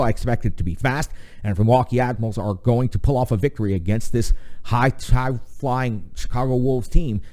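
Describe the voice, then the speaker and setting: A man hosting a hockey show, delivering analysis and sponsor reads with energetic, hyped delivery. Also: fairly low pitch. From United States. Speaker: podcast host.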